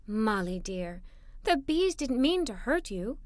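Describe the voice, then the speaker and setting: Woman acting gentle, soothing, slow-talking storybook mother. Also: a little high, big pitch swings, no echo, voice pushed down. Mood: gently reassuring. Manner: gentle, tender, reassuring. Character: kindly, soothing mother of a young girl.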